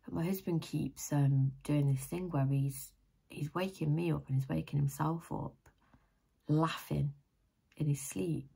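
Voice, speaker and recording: Croaky, deep-voiced British woman vlogger. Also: fairly even intonation, unhurried, mellow. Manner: rambling, chatty, thinking aloud. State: tired. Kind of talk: casual chatty vlog. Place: small room at home, indoors.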